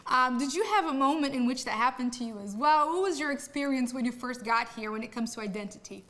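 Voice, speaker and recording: A woman hosting an interview show, asking questions warmly and often tagging her sentences with "right?". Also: high-pitched, quick pace. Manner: affirming, leans on "right?" tags. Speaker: podcast host. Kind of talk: interview host chat.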